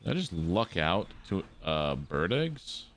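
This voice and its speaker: A man's voice: sits low, very animated, slow pace, fairly bright tone, a little hiss or hum. A man giving casual, playful live game commentary, often talking to himself as he plays. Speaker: game streamer. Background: video game ambience, horse hoofbeats.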